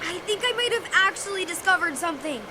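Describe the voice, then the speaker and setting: A woman voicing a curious, excitable young schoolgirl, eager to discover things, in a children's audio drama. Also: extremely high pitch, quick pace. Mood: excited curiosity. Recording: noisy outdoor ambience behind.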